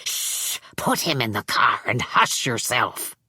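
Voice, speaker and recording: A woman voicing a sinister, cold old man who hisses and speaks low. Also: sing-song, slow, bright, forceful tone, breathy edge, dry recording, full character voice. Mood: hissing urgent. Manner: hissing, low whispers.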